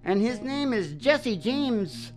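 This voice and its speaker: A woman with a lively, swooping delivery chats casually as a show host, with faint music in the recording. She rambles along in a folksy style, opinionated and teasing. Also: on the high side, slow pace. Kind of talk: casual rambling chat between songs.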